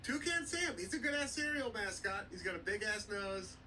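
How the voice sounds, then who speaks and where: A man's voice: high-pitched, expressive, moderate pace, bright, forceful tone. A man hosting a podcast, joking and teasing in casual chat. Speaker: podcast host. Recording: distant mic, noisy background.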